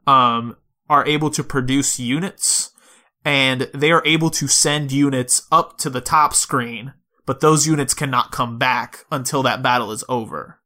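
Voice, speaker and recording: A man's voice: mid-range voice, steady tone, a little slow, bright, forceful tone. A man co-hosting a podcast, talking casually about video games and explaining things step by step. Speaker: podcast host. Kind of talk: casual video game discussion.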